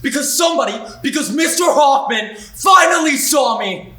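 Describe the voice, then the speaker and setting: An actor performing a monologue as a defiant young male student, proud and hurt, confrontational and building to shouts. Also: very high-pitched, expressive, normal speed, exaggerated voice. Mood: resolute. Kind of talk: dramatic monologue. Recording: roomy sound, mic far off.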